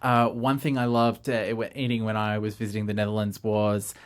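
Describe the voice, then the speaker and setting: A man hosting a radio interview, relaxed, with plenty of uhs. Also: on the low side, brisk, smooth. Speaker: radio host.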